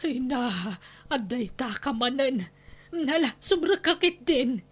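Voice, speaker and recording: A high, plaintive voice carries this long-suffering Ilocano wife and mother played by a woman. She is anxious and pleading, in the style of a family radio drama. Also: lively intonation, takes it slowly. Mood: worried, pleading.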